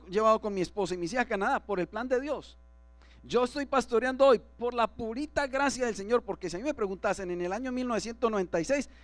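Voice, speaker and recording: A man's voice: high-pitched, fairly bright tone, no echo. A man preaching as pastor to his congregation, often asking them for agreement. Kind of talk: sermon. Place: church.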